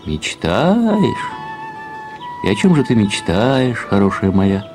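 A weary, ageing academic husband in an audio play, speaking in a deep voice. He muses with resigned, gentle affection like a lonely dreamer, over soft background music. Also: huge pitch swings, slow, heavily acted. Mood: musing, melancholy. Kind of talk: audio drama acting.

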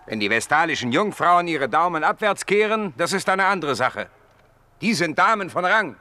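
A man acting in a radio play as a gruff, cynical Roman gladiator boss, brusque and scornful. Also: on the high side, sing-song, normal speed, sharp tone.

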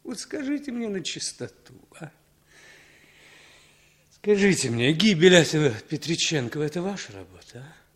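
An actor playing a bitter, drunken émigré and former prisoner of war in a 1960s Soviet radio play, brooding, halting and repeating himself. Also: male voice, on the high side, sing-song, slightly rough. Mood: drunken, accusing.

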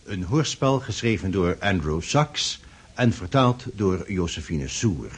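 Male announcer: low, very husky, unhurried, formal credits read. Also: big pitch swings. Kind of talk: scripted radio credits announcement.

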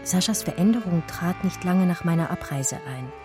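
An actress as low-voiced, wistful German woman remembering. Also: animated, normal speed, music in the background, natural voice. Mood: sober recollection. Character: wistful German woman art historian remembering her lost Russian lover. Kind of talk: radio drama first-person narration.

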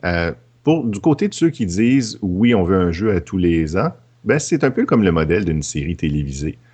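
A man's voice: low-pitched, sing-song, normal speed, a little mellow, husky. A man with a Quebec French accent speaking in a casual panel discussion.

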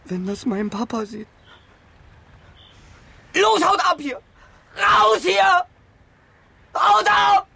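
An actor playing an agitated teenage boy in a German radio drama, with a shrill, creaky shouting voice. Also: animated, extremely slow, pauses often, exaggerated voice. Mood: agitated, angry. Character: agitated teenage boy yelling others away.

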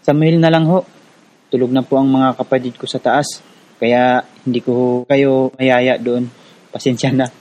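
A man's voice: mid-range voice, level tone, moderate pace, darkish tone, lightly acted, poor audio. A man with a Filipino accent playing an earnest, curious, polite young villager in a radio drama. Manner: respectful, polite. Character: earnest, curious, polite poor rural young man recounting his past. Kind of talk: radio drama acting and narration in Tagalog.

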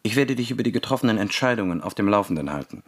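A calm, reassuring friend in a radio drama. His voice is on the low side and moves quickly, in an understated, obliging way. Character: calm, obliging male friend and fellow conspirator.

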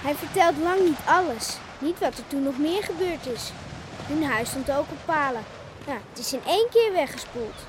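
A young girl, the serious and dutiful sister of a family. Her voice is high and earnest. The old radio play recording has clear noise over it. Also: expressive, normal speed. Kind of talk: Dutch radio play acting.

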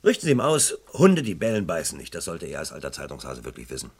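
Gravelly, wry police chief. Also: male voice, a bass voice, wildly swooping pitch, fast, darkish tone, heavily acted. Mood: wry, dismissive. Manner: dry, dismissive, sardonic. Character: wry, unruffled senior police officer. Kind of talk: German radio crime drama acting.

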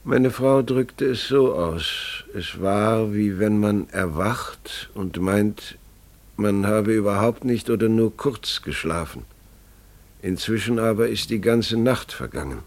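An actor playing a grave, bewildered family father who tells his story haltingly and deliberately in a German radio play. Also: lowish voice. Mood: hushed, bewildered.

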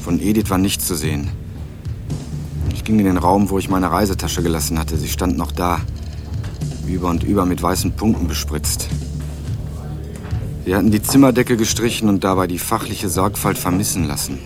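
An actor playing a young student who narrates in a dry, wry tone and questions people persistently. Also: male voice, sits low, normal speed, pauses often, over music, lightly acted. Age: young adult. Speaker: first-person narrator and student. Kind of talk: scripted crime radio play narration.

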